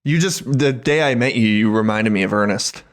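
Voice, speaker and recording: A man's voice: lowish voice, a little fast. Male podcast co-host, thirties, loose conversational delivery, crude humor, plenty of swearing. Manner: crude, joking, swears freely. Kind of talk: casual chat.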